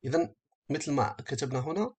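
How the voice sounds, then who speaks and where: A man giving a step-by-step software tutorial as an instructor, speaking haltingly and often rephrasing as he explains. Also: medium pitch, animated, slightly rough.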